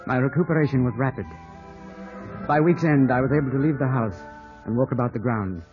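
An actor playing an earnest, stubborn young gentleman in love, in 1950s radio drama style. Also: mid-range voice, brisk, natural voice. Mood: narrating, sombre. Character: lovestruck, stubborn young English gentleman landowner. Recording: muffled old radio broadcast, music behind.

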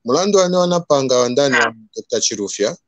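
A man with a Malawian accent calling in to a live show, slowly complaining in a local language. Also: mid-range voice, lively intonation, no echo. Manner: long slow rant in a local language. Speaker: caller. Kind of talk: phone-in complaint.

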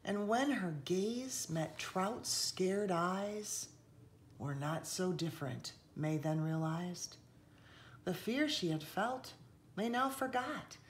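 A woman reading a picture book aloud expressively, with dramatic hushed passages and emphatic rises, recorded indoors by a camera. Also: fairly low pitch, slight background noise. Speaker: children's author reading aloud. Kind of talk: expressive storybook read-aloud. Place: indoors, seated on a sofa in a room.

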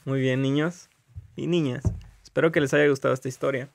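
A man's voice: mid-range voice, steady tone, fairly quick, vocal fry, no echo. Man addressing children as story reader. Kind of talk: friendly address to children, read-aloud. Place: small supply room with shelves, indoors.

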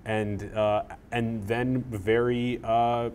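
A man in his thirties hosting an interview, talking in a rambling, animated way with lots of "like", on a lapel mic in a small room. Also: medium pitch, drawn-out words, a little hiss or hum. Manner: rambling, animated, uses "like" a lot. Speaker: podcast host. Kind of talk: casual interview chat. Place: small plain room indoors.

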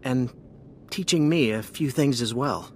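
An actress voicing a polite, humble reptilian alien apprentice in a video game. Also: female voice, extremely low pitch, measured pace, a little hiss or hum. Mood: respectful. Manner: courteous.

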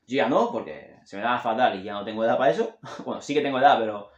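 A man in his thirties from Catalonia talks in a loose, rambling way, often correcting himself as he goes. His tinged sits at a middle pitch. The sound carries the roomy echo of a home room picked up by a desk webcam. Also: quick pace, muffled recording. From Catalonia, Spain. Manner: rambling, enthusiastic, self-correcting, gestures with his hands. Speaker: host recommending music. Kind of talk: casual chat.

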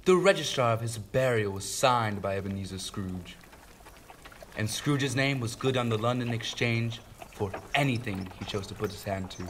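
An actor playing a solemn storyteller, giving deliberate, emphatic scripted narration in a radio drama. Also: male voice, medium pitch, fairly bright tone, slight background noise, lightly acted. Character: solemn male storyteller narrating a ghostly tale.

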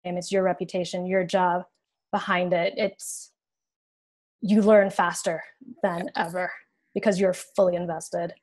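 A woman's voice: lowish voice, little pitch movement, measured pace, a little mellow. A young woman co-hosting a podcast, interviewing a guest over a video call from home in an encouraging way. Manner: encouraging, asks questions with short backchannels. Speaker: podcast co-host. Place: home room, indoors.

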